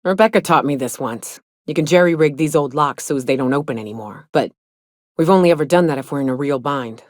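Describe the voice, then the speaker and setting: A woman playing a tough, grieving, foul-mouthed huntswoman in a space frontier video game, speaking bluntly in gruff, folksy phrasing. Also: deep voice, fast talker, dry recording, clean studio sound, close to an everyday voice. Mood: matter-of-fact. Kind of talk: video game character dialogue.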